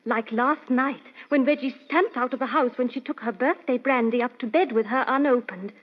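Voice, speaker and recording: On a dull old radio recording, a young lady's companion with a very high voice. She is earnest and chatty, with a genteel, fluttery manner suited to a vintage radio mystery. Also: normal speed.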